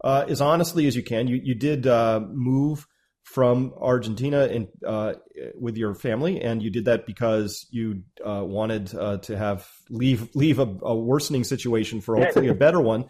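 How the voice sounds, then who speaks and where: A male radio interviewer with a mid-pitched voice who asks probing questions carefully, pausing and restarting with small fillers as he works toward each point. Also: fairly even intonation, a little mellow.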